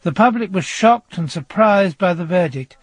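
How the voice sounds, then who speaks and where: A man presenting a scripted true-crime radio programme, narrating in a measured storytelling manner. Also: high-pitched, expressive, breathy edge, no room sound.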